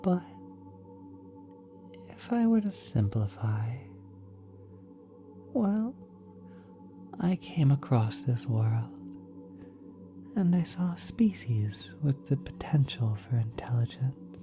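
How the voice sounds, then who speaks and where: A woman voicing a kind, doting celestial parent in an ASMR roleplay, soft and breathy with gentle, tender cooing. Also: extremely low pitch, sing-song, slow, pauses often, over music, full character voice. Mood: tender, explaining. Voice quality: soft, breathy, partly whispered. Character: kind, doting ethereal cosmic being, parent of a mortal.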